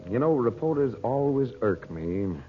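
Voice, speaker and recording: A man's voice: medium pitch, expressive, moderate pace, a little hiss or hum, natural voice. An actor playing a composed, dryly wry man of mystery in a 1940s radio drama, protective and urbane. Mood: wary, needling. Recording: muffled, phone-like old radio recording, faint music.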